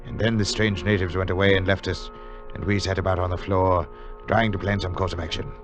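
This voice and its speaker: An actor playing a composed, resolute explorer who narrates a 1940s radio adventure in a measured dramatic way. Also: male voice, sits low, little pitch movement, music in the background, lightly acted. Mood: grave narrating. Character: composed, resolute male expedition leader recounting the adventure. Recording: dull old 1940s radio recording.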